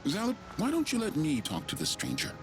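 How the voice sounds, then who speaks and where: An actor playing an excitable, keen alien tinkerer in a video game cutscene. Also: male voice, high-pitched, fairly quick, over music, full character voice. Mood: eager.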